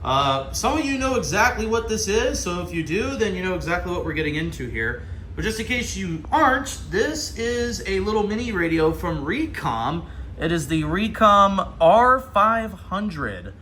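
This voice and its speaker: A man's voice: high voice, very animated, normal speed, pushed and bright, slight background noise. An American man giving casual hands-on unboxing commentary in an informal, enthusiastic way. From Ohio, United States. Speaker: vlogger. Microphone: handheld camera.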